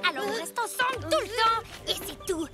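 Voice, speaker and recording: A woman's voice: very high voice, animated, fairly quick. A woman voicing a bossy, lovestruck and scheming little girl in a children's cartoon, imperious and theatrical. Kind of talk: French animated children's cartoon dubbing. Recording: music under the voice.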